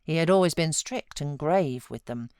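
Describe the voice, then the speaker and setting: A British woman reads classic fiction as a professional audiobook narrator. Her voice sits very low for a woman, yet it moves in wide, lively swings. The delivery is expressive and dramatic, and the sound is dry, with no room around it. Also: normal speed, clear, resonant tone, no room sound. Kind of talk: scripted narration of a classic novel.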